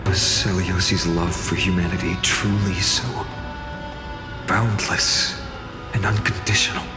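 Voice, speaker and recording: A man voicing a calm, noble young hero in a fantasy video game, thoughtful and steady in delivery. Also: low-pitched, over music. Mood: pensive, awed. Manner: thoughtful, deliberate.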